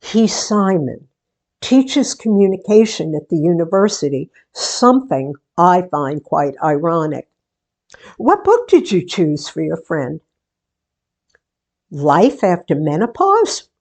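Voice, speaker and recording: A woman acts out a chatty, wry middle-aged woman in a radio drama. She takes her time with each line, speaking at a very slow pace. Her delivery is theatrical and musing, as in a one-sided conversation. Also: mid-range voice, darkish tone, heavily acted. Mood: wry, friendly. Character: wry, chatty grown woman running a book exchange.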